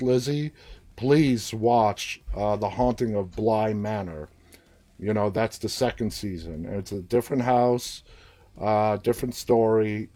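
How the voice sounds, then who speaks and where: A man's voice: mid-range voice, warm tone. A man from New York with a New York accent, hosting a casual live show and chatting as he reads viewer comments. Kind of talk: casual live-stream chat.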